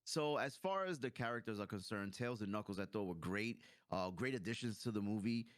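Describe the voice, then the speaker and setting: A man's voice: fairly low pitch, expressive, a little fast, no echo, clean studio sound. Casual, slangy man reviewing a movie. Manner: slangy, enthusiastic.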